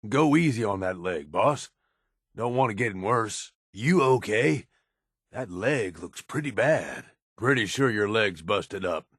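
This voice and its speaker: A deep, gruff American raider lieutenant for a video game. He is blunt and cynical yet loyal, talking in rough, folksy slang. His delivery moves widely in pitch, and the recording is dry and close. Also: lively intonation, measured pace, a bit bright, no echo. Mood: gruff concern. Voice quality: low, gruff. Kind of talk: video game companion voice lines.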